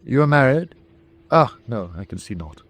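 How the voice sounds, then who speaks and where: An actor playing a courteous but embattled submarine captain in his forties, formal and measured, in a wartime radio drama. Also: medium pitch, big pitch swings. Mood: polite, curious. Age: 40s. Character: forty-year-old German U-boat captain, courteous but embattled.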